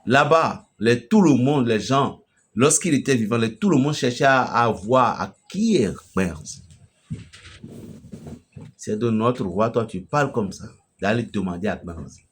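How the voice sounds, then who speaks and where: A man from Benin with a Beninese French accent, preaching an emphatic, storytelling message to a fellow pastor. His voice swells loudly at the climaxes. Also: mid-range voice, animated, a little slow, slightly rough. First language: a local Beninese language.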